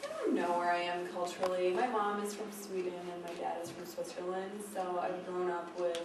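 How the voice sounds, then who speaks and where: A woman's voice: lowish voice, level tone, brisk. A woman introducing herself to a class, uncertain and musing aloud. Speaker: student. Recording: very echoey room from far off, noise.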